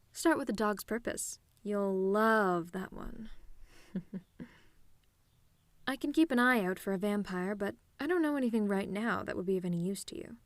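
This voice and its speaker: A woman's voice: medium pitch, lively intonation, a little slow, long pauses, no echo. A woman playing a cocky, sarcastic tomboy demon with a casual, teasing delivery in an audio roleplay. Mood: dismissive, sardonic. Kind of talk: ASMR-style scripted roleplay monologue.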